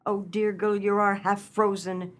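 A woman's voice: mid-range voice, flat delivery, a little slow, lightly acted. Woman acting a motherly, fretful farmer's wife. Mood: motherly concern. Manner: earnest, motherly, theatrical. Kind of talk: comic melodrama radio acting.

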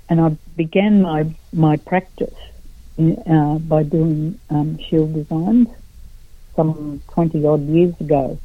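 A middle-aged Australian woman, a guest artist in a radio interview, speaking slowly and haltingly with many pauses and fillers. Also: sits low, dry recording. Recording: tinny phone line.

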